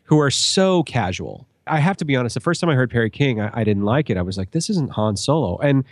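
A man talks through his opinions on a podcast in a casual, analytical way. His mid-pitched voice moves up and down a lot. The recording is dry and close. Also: very animated, fast talker, no echo. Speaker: podcast panelist.

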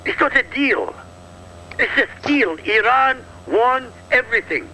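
A man's voice: very high voice, lively intonation, measured pace, sharp tone, a little hiss or hum, poor audio. A man from Iraq talking on a political talk radio show, emphatic and combative, in punchy short sentences.

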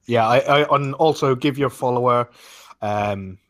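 A man's voice: mid-range voice, a little slow, a bit bright, dry recording. A man talking casually and colloquially as a panelist on a gaming podcast. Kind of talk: casual gaming roundtable chat.

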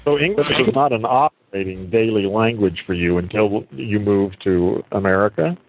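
A man chatting casually, asking eager, rapid questions. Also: on the low side, no room sound. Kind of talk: casual conversation. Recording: phone-like line with faint noise.

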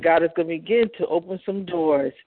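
A woman's voice: on the low side, a little fast, a little hiss or hum. A woman, a prophetess, giving a short exhortation and filling her phrases with "praise God" and "hallelujah". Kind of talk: exhortation by phone. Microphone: phone. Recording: tinny phone line.

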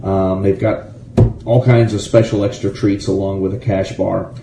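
A man's voice: low-pitched, little pitch movement, moderate pace, gravelly. An American man from Florida hosting a casual radio show, reading trivia and adding rambling, jokey asides. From Gainesville, Florida. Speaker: radio show host and musician. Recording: roomy, echoey, faint background noise.

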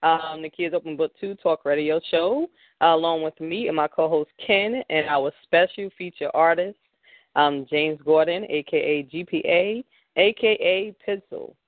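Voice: mid-range voice, moderate pace, dry recording. A talk radio host welcoming listeners to the show and inviting questions in the chat. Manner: brief encouraging listener sounds. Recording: tinny phone-like line, dry.

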